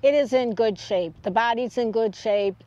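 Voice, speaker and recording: An older woman with an American accent and a somewhat high voice chats casually. She recounts a trip like a travel vlogger, outdoors, on slightly dull-sounding audio. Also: moderate pace, noisy background. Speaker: travel vlog host. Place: outdoors in open air beside a car.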